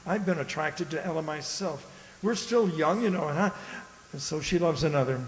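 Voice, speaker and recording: A man acting a smug, sly, old-fashioned gentleman and false friend in a radio drama, genteel and insinuating. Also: fairly high pitch, moderate pace, lightly acted. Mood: smoothly sympathetic. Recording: echoey hall, faint noise behind.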